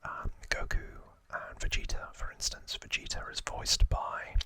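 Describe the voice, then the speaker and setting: A man hosting ASMR, whispering informal trivia narration in a hushed, rambling way with casual asides. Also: a little slow, no echo. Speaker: ASMR host.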